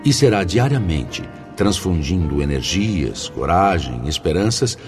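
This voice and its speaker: A man's voice: low-pitched, sing-song, unhurried, a little mellow. An actor, a man, playing a venerable, fatherly spirit mentor in a radio drama with solemn, oratorical delivery. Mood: earnest encouragement. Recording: music under the voice. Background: background music.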